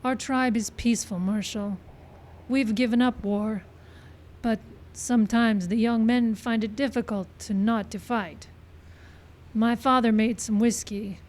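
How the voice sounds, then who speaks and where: A woman's voice: on the high side, fairly even intonation, measured pace, darkish tone, close to an everyday voice. An actress playing a proud, solemn middle-aged woman in a radio western, speaking in formal, simple sentences. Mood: solemn, dignified. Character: proud, solemn middle-aged Pawnee daughter of old man. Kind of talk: old-time radio western acting. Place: live stage show in a park.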